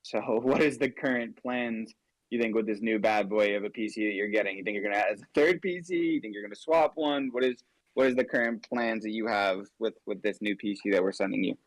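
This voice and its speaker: A man's voice: lowish voice, brisk, no room sound, rough recording. A man hosting a casual interview, friendly and rambling with many fillers. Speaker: interview host.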